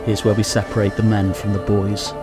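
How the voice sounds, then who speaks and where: A man's voice: fairly low pitch, flat delivery, brisk, close to an everyday voice. A man playing a seasoned, commanding knight with a wry, authoritative manner in a radio play. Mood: grim, commanding. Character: seasoned, dry, commanding male knight and mentor. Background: music.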